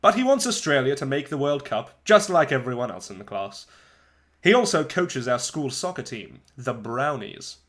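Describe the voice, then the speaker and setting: Storybook narrator: eager, wry eleven-year-old boy played by an adult man, mid-pitched, conversational, full of asides and dramatic pauses. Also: big pitch swings, fairly bright tone. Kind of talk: dramatic first-person storybook reading.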